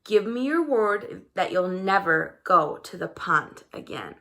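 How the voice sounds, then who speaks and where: A woman voicing a firm yet tender mother in a children's storybook, gently instructing her child. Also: lowish voice, sing-song, unhurried, heavily acted. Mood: soft, earnest.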